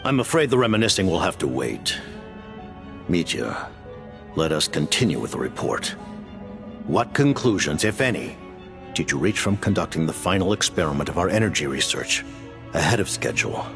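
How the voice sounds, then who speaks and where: A stately video game ruler with an extremely low, gravelly voice. He speaks in formal, measured phrases with a wide, expressive swing in pitch. He sounds composed and used to command. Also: over music, heavily acted. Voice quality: very deep, gravelly. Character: commanding, composed male leader of a nation.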